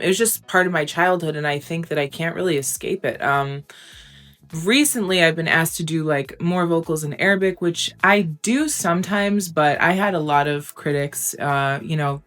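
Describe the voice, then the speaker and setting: Low-voiced young woman, chatty and candid. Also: a bit bright. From United States. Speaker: interview guest, recording artist. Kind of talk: casual interview answers.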